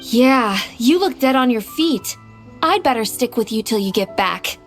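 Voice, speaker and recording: A concerned townswoman in a video game, speaks casually and caringly. Her voice sits a little high, over background music. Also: lively intonation, measured pace.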